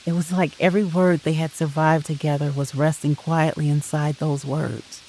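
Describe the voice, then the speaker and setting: A narrator reading a scripted audio drama in a solemn, sentimental, deliberate way. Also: mid-range voice, little pitch movement, a little mellow, soft background music, low-quality recording.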